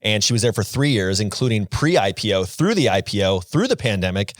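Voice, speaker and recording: A man's voice: on the low side, sing-song, brisk. A man co-hosting a podcast, joking and playful in casual chat. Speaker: podcast co-host.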